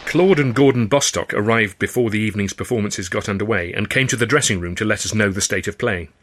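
Bright-voiced male story narrator. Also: on the low side, quick pace. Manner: expressive storytelling. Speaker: author and audiobook narrator. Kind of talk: scripted first-person story narration.

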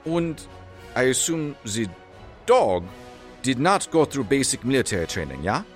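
Pompous German villain, swooping pitch. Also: male voice, very animated, a little slow, soft background music. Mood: impatient, sarcastic. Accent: mock German. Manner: pompous, sarcastic, theatrical. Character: pompous, impatient German military villain, childishly vain, secretly soft. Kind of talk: comedic villain voice acting.

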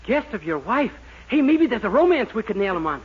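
High, quick-talking eager young schemer. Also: male voice, very animated, husky, heavily acted. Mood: earnest, hopeful. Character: naive, eager young male shop employee, scheming. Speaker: comedy show lead. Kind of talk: 1940s radio sitcom acting. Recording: dull, noisy 1940s radio recording.

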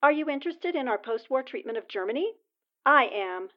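A woman's voice: high voice, animated, fairly quick, dull audio. A woman playing an earnest newspaperwoman dictating a column, heard as dictaphone playback in a 1940s-style radio drama. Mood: earnest, measured.

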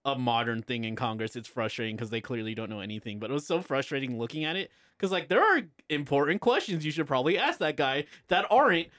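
A man's voice: mid-range voice, expressive, quick pace, hardly any pauses, a bit bright, no echo, clean studio sound. A man co-hosting a casual podcast, rambling with lots of fillers. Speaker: podcast co-host. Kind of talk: casual chat.

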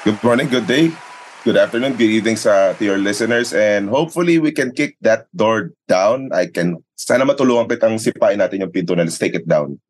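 A man's voice: on the low side, a little fast, a little hiss or hum, low-quality recording. A man with a Filipino accent, a sports announcer talking as an interview guest in a conversational, storytelling way, repeating phrases for emphasis. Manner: mixes English and Tagalog, repeats phrases for emphasis.

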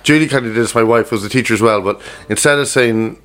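A man's voice: medium pitch, fast talker, a bit bright. A man bantering on a pub podcast, teasing and playful. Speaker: podcast co-host.

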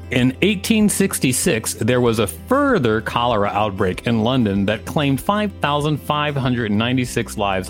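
A man co-hosting a podcast, reading an article aloud and adding playful, mock-indignant asides. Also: medium pitch. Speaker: podcast co-host.

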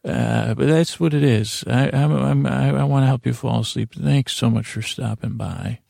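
A man hosting a bedtime podcast in a meandering, halting way, often restarting and correcting his own sentences, with a gravelly voice. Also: medium pitch, dark tone, no room sound, clean studio sound. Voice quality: gravelly, creaky. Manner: meandering, halting, self-correcting with repeated false starts. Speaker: podcast host. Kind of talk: rambling bedtime podcast intro.

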